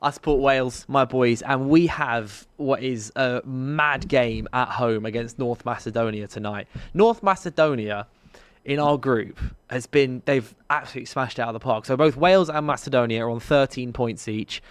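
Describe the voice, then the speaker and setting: A man's voice: mid-range voice, normal speed, clear, resonant tone, dry recording. Chatty man on a live stream. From London. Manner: chatty, rambling with restarts, addresses viewers. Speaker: podcast co-host. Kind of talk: casual sports chat on a live stream.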